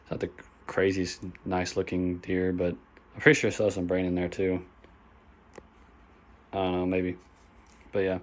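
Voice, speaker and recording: A young man, hoarse, talking casually and swearing as he shows his gaming setup in a phone-recorded vlog. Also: low voice, completely flat delivery, normal speed, pauses often. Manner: casual, swears often, lots of fillers. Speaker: gaming vlogger. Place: bedroom at home. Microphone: phone camera.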